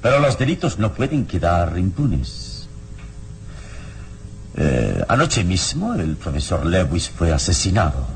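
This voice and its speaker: An actor playing a calm, resolute adventurer hero in an old radio drama, grave, measured and courteous. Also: male voice. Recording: noisy old radio serial with rumble.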